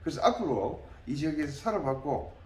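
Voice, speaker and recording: A man in his late sixties answering interview questions as a political candidate, in an earnest, deliberate, halting way. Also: a little high, big pitch swings, darkish tone. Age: late 60s. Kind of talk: interview answers, campaign pitch. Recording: roomy, distant mic, faint background noise.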